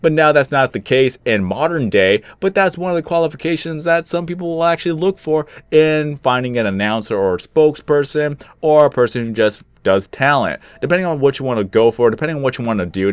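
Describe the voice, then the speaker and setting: A young man with a deep, low-toned voice, slightly sick with some phlegm, giving rambling, easygoing commentary while he plays a game. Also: animated, fairly quick, hardly any pauses, smooth, no room sound. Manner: rambling, easygoing, chuckles at himself. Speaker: gaming channel host. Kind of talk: casual gameplay commentary.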